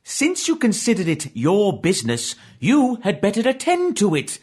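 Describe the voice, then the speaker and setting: An actor playing a grumpy, miserly old businessman with a rough, husky voice in a children's audiobook. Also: mid-range voice, huge pitch swings, normal speed, darkish tone. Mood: cold, irritated. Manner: curt, scornful, grumbling.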